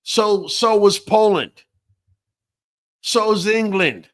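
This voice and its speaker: A man's voice: high-pitched, animated, slow pace, fairly bright tone. A man born in Venezuela, hosting a late-night talk show and giving blunt, profane opinions in a casual ranting way. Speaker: host.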